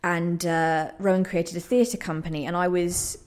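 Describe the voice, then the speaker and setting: A woman's voice: on the low side, fairly even intonation, normal speed, darkish tone. A young woman, a playwright, talking casually as an interview guest in a hesitant, earnest manner.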